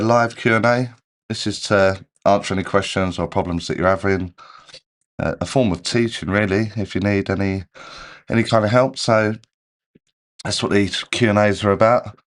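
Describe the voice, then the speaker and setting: Male live-stream host with a deep voice, congested with a cold, casual and unhurried. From United Kingdom. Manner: relaxed, rambling, checks audio as he goes. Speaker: medium hosting a live Q&A.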